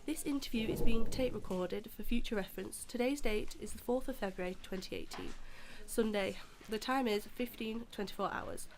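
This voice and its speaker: A woman's voice: a little high, noisy background, natural voice. A woman playing a businesslike police detective in an audio drama, formal and procedural. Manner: procedural, measured.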